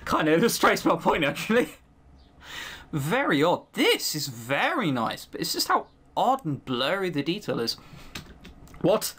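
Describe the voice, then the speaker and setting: A man's voice: a little high, sing-song, unhurried, fairly bright tone, husky. A man giving let's-play commentary, reading game text aloud and breaking off for dry, sweary, critical asides.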